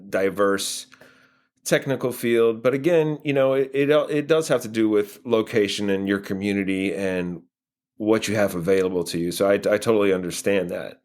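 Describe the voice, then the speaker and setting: An American man answering interview questions casually, in a halting way as he thinks aloud. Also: on the low side. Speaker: guest playwright and filmmaker.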